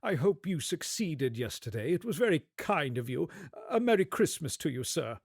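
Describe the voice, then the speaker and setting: A man's voice: on the high side, moderate pace, slightly rough, dry recording, clean studio sound, exaggerated voice. A man voicing an elderly reformed miser, giddy with joy and generosity, in an effusive, theatrical storybook radio-play style. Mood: warmly courteous. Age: older. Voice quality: excitable, exclaiming, breaking into chuckles. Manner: effusive, courteous, old-fashioned British phrasing.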